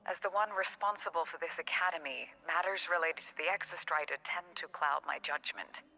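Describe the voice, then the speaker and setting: A woman playing a composed, shrewd academy president in a video game, measured and wryly polite. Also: sits low, bright, forceful tone, big-room sound, soft background music, heavily acted, rough recording. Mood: conciliatory, wry.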